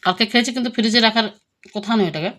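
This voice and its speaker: A woman's voice: fairly high pitch, racing, a bit bright. A middle-aged woman chatting casually and homely for a family vlog. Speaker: family vlogger, mother. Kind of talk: casual home vlog chat. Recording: noisy home recording with clicks.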